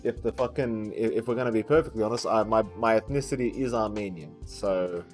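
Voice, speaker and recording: A man's voice: on the low side, fairly quick, faint music behind. A man with an Australian accent, an esports caster, chatting casually on a podcast, blunt and swearing often, with frequent restarts.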